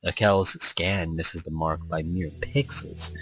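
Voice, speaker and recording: A man's voice: deep voice, steady tone, slow, fairly bright tone, slightly rough. A man hosting a let's play, reading visual novel text aloud in a playful, theatrical way. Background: background music.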